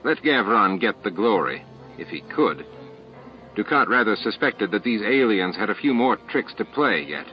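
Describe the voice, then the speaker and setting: A man with a fairly low voice narrates a science fiction audiobook. He reads scripted prose over clear background music. The sound is dull and lo-fi, like an old book on tape. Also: sing-song, moderate pace.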